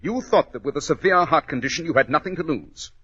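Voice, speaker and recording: An actor playing a shrewd, confident gentleman detective, precise and formal, in a 1940s radio drama. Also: fairly high pitch, expressive, quick pace, breathy edge, full character voice. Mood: stern, accusing. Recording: dull old radio recording.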